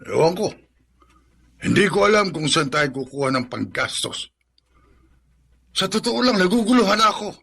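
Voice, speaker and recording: A man's voice: high voice, big pitch swings, moderate pace, vocal fry, long pauses, sharp tone, breathy edge, exaggerated voice. A man acting in a radio drama as a bitter, frustrated middle-aged father who grumbles in exasperation. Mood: weary, worried.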